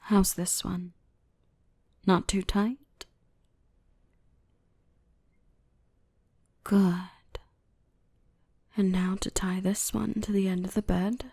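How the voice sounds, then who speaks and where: A woman playing a gentle, protective dark elf mistress in a soothing, reassuring ASMR roleplay, often whispering. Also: medium pitch, flat delivery, slow pace, vocal fry, long pauses, dry recording, clean studio sound. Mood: tender, praising.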